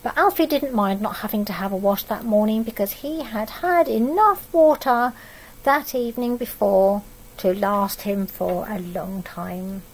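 A middle-aged woman reading a picture book aloud to young children, expressive and adding asides about the pictures. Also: medium pitch, slightly rough, low-quality recording. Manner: expressive storytelling that pauses to comment on the pictures. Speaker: storybook reader for young children. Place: living room at home, indoors.

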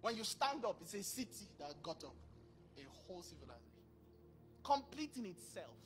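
A man in his thirties preaching a sermon into a handheld mic on a large church stage, acting out dialogue with sudden bursts of loudness. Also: high voice, brisk, faint music behind. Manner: animated, acts out dialogue, bursts of volume. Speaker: pastor.

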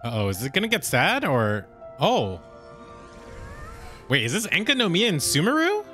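Animated bright-voiced man reacting. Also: a little high. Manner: animated, gushing, quick exclamations. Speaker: streamer reacting to a game trailer. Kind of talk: excited reaction commentary. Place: home streaming room with colored lights. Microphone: studio mic on boom arm, headset. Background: game trailer music.

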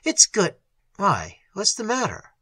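A man voicing a cordial, concerned scholarly gentleman, earnest and emphatic, in a horror audiobook. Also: high-pitched, huge pitch swings, moderate pace, husky, no echo, pitched above the performer's own voice, full character voice. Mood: startled, warm. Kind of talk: dramatic horror audiobook acting.

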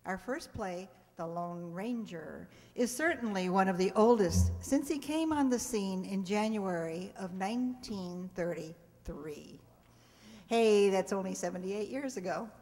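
A woman's voice: mid-range voice, unhurried, darkish tone. Older woman presenting in a reverberant hall. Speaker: presenter introducing a stage radio play. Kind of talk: scripted introduction read to an audience. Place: stage in a community hall, indoors. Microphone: handheld-style microphone on a stand. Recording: hall reverb from stage microphone.

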